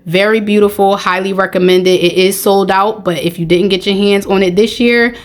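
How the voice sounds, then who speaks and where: A woman hosting a casual product review vlog, talking in a matter-of-fact way, her voice a little raspy and hoarse from getting over being sick. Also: mid-range voice, fairly even intonation, normal speed. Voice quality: slightly raspy. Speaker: video host, product reviewer.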